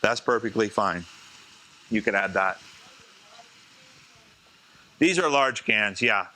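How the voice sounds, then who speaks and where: A man, a chef, teaching a live cooking demonstration in a casual, chatty way, slightly hoarse as he is losing his voice. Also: fairly low pitch, animated, slow, sharp tone, low-quality recording. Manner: casual, chatty, talks to the class.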